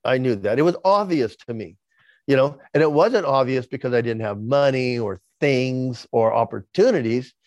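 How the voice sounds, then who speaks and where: A man's voice: medium pitch, expressive, moderate pace, no room sound, highs cut off. Man in his sixties with a lisp. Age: 60s. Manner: repeats words when starting sentences. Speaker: podcast guest. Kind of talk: candid interview storytelling.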